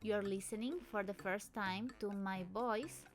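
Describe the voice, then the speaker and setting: A woman's voice: mid-range voice, fairly even intonation, measured pace. A woman who is not a native English speaker, talking over video clips as the host of her channel in a hesitant, nervous way, often restarting her sentences, recorded at home in an apartment. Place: apartment in a busy town area with traffic outside.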